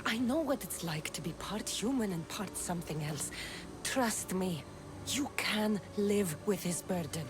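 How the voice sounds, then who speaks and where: A woman's voice: medium pitch, lively intonation, unhurried, close to an everyday voice. A woman voicing a tough, level-headed warrior woman in a video game, frank and firm in manner. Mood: reassuring. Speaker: investigator and fighter. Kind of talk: video game cutscene acting.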